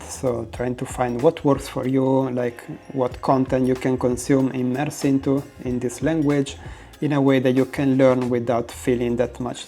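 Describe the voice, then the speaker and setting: A man with an Italian accent, a native Italian speaker, talking casually to camera about learning languages, with frequent "uh" pauses and non-native phrasing. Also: mid-range voice, dark tone.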